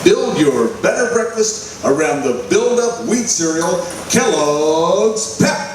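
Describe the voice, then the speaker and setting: A man's voice: sits high, sing-song, slow. A man reading a scripted commercial as an announcer in 1940s radio style. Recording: echoey hall, distant mic, faint noise.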